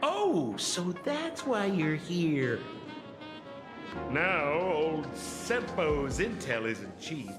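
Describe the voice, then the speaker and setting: High-pitched, theatrical old gossip. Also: male voice, very animated, extremely slow, full character voice. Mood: sly, storytelling. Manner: theatrical, teasing storyteller with dramatic exclamations. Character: excitable, theatrical old tavern gossip and wily informant. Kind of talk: animated video game acting. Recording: echoey, reverberant, over music.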